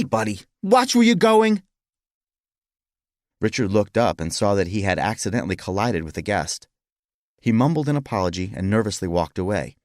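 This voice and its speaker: A man's voice: lowish voice, no echo, clean studio sound. A man narrating a piece of historical fiction as a scripted audiobook reading.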